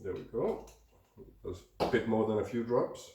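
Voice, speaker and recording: A hoarse, congested man hosting a cooking show, chatting casually as he mixes ingredients in a home kitchen. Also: fairly low pitch, animated, normal speed, darkish tone. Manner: chatty, talks while mixing food. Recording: roomy echo, distant mic, faint noise.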